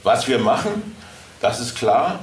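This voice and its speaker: A man giving informal answers as an audio drama producer, haltingly and searching for his words. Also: medium pitch, big pitch swings. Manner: halting, searching for words, many false starts. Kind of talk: informal question-and-answer talk. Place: indoor convention hall, live event. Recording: echoey, noisy room, far from mic.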